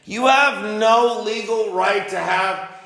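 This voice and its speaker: Male, higher-pitched with a gravelly edge, confrontational and insistent, one side of a heated phone argument in an echoey room. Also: slow, a bit bright. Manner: confrontational, insistent, repeating himself. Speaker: parent on a phone call.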